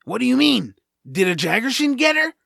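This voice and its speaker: A man playing a grumpy, nap-loving guide in an audio drama, gravelly, wry and folksy as he grumbles along. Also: extremely high pitch, very animated, fairly quick, sharp tone, no room sound, studio quality, full character voice. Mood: grumbling, explaining.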